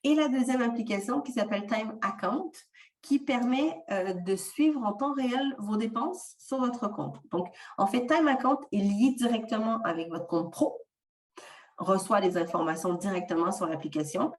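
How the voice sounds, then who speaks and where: A woman's voice: fairly high pitch, a little mellow, roomy sound, recorded from a distance. A woman with a slight non-French francophone accent presenting an online workshop on tools for freelancers, explaining with frequent fillers.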